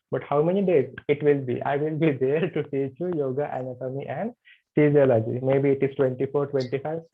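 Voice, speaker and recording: A man introducing himself as a yoga anatomy teacher in an online class, halting with many fillers. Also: medium pitch. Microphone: video call. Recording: tinny video call audio.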